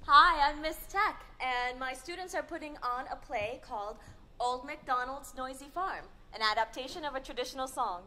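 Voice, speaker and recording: A woman's voice: sits high, normal speed, pushed and bright. A woman teacher addressing an audience at a student play, in a very noisy live recording.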